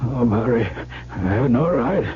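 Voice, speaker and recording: Actor as a slow, halting dying old man. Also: medium pitch, animated, natural voice. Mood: fearful, urgent. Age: older. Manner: halting, grave, urgent. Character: frightened dying rich uncle over ninety. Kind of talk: radio drama acting. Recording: noisy muffled old radio broadcast.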